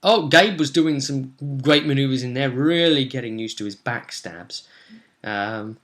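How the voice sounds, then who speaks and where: A young man talks casually as a game master recounting a session. His voice sits at a middling pitch. He rambles and trails off, then leans into dramatic emphasis. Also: measured pace, a bit bright. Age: young adult. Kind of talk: casual recap of a tabletop role-play session. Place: small indoor room at home.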